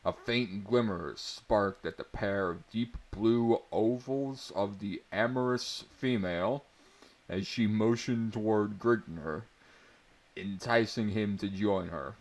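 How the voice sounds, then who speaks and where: Guy doing a mock-dramatic fantasy reading, really slow, kinda low voice, amused side comments. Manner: mock-serious, theatrical, deliberate, breaking into asides. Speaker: narrator.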